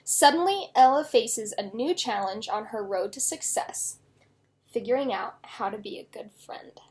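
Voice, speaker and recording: A woman author reading her own children's novel aloud in an expressive storytelling style. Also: fairly high pitch, a little slow.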